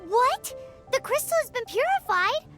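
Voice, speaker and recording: A woman voicing a small, excitable, bossy fairy-like girl companion in an anime video game, with a squeaky childlike voice. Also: normal speed, loud music behind the voice. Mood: puzzled. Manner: speaks of herself in the third person.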